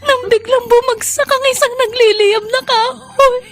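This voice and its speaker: Very high, tearful Filipino girl. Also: steady tone, measured pace. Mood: tearful, grieving. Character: Nicole, distraught young daughter. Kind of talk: Filipino radio drama acting.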